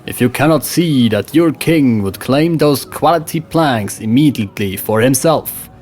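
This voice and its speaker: A man's voice: medium pitch, lively intonation, measured pace, a little mellow, soft background music, pitched above the performer's own voice. A man voicing a stern, commanding Norse crew leader. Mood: forceful. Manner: commanding, emphatic.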